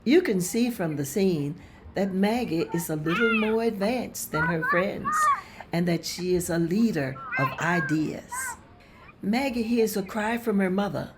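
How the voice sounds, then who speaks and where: A woman narrating a script at a table read, reading scene directions in a plain, steady way. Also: mid-range voice, unhurried, very noisy.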